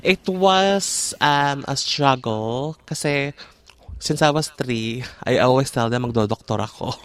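A woman's voice: rumbling low, measured pace, smooth. A woman in her thirties from the Philippines, a hair and makeup artist, telling her story in a casual interview that switches between English and Tagalog with self-mocking laughs. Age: 30s. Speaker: guest, hairdresser and makeup artist.

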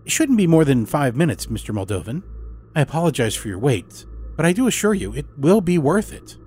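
A man's voice: on the high side, sing-song, a little fast, darkish tone, husky, pitched above the performer's own voice. A man acts out a smiling female clinic nurse in an audio horror story. The voice lifts well upward, sugary and courteous, with music underneath. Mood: polite reassurance. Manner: courteous, sugary customer-service politeness. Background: music score.

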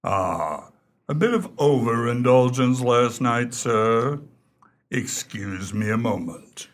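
An actor playing an unflappable, deferential English valet in a radio play, speaking formally and with measured understatement. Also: male voice, mid-range voice, animated. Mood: discreetly helpful. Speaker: valet character in dialogue.

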